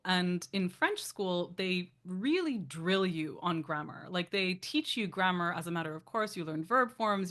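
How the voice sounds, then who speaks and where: Woman from Canada, author guest, low voice, chatty, tangents and fillers. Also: a bit bright. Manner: thoughtful, chatty, many asides and fillers, laughs easily. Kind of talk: casual interview talk.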